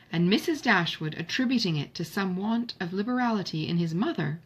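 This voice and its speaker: A woman narrating a classic novel as an audiobook reader, in an expressive scripted literary style. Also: mid-range voice, breathy edge.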